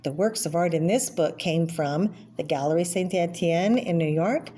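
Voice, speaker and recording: Lower-pitched woman reading aloud, noisy recording. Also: mellow. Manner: explanatory, pausing to name and comment on pictures. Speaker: read-aloud presenter for children. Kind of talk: reading a picture book aloud with informal asides.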